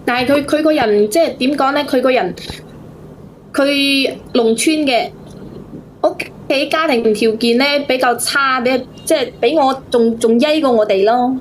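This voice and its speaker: High-voiced Cantonese woman, halting and plaintive. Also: a little hiss or hum, rough recording. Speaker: phone-in caller. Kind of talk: casual personal storytelling to a host.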